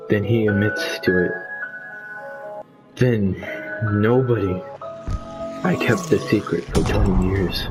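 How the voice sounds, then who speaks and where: A man with a fairly low voice tells an improvised, mock-dramatic tale among friends. He speaks slowly and haltingly, with pauses between phrases, over clearly audible music. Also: rough recording. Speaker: amateur storyteller among friends. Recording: music playing behind the voice. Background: background music.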